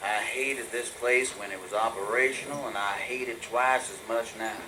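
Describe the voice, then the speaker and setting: A man's voice: medium pitch, steady tone, moderate pace, noisy background, lightly acted. A man playing a disgruntled, weary colony crewman in a game audio log, grumbling drily. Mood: irritated. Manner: grumbling, dry.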